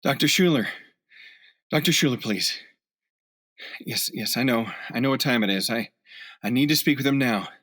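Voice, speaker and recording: An actor in a radio play playing a weary, rattled security agent who stammers and gets agitated. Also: male voice, mid-range voice, moderate pace, long pauses, fairly bright tone, no room sound, clean studio sound, lightly acted. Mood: desperate. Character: weary, rattled male security agent losing control of himself.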